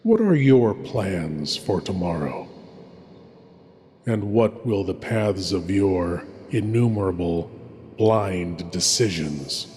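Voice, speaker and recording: A sinister lord of shadows, acted by a man hosts a horror show. He narrates very slowly and theatrically in a low, ominous voice. Long reverb surrounds him. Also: expressive, darkish tone, a little hiss or hum. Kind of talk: scripted horror anthology narration. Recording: long cavernous reverb with music.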